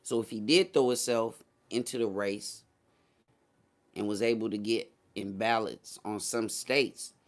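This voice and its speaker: A man's voice: fairly low pitch, measured pace. Emphatic man livestreaming in casual slang. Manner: slangy, profane, conversational; emphatic, repeats phrases for effect. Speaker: livestream host. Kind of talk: casual livestream political commentary, addressing a live chat.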